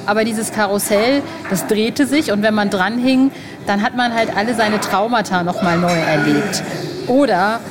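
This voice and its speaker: A woman's voice: medium pitch, normal speed, noisy background. A woman hosting an interview in casual, colloquial, enthusiastic chat, gushing as she talks. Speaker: podcast host and interviewer.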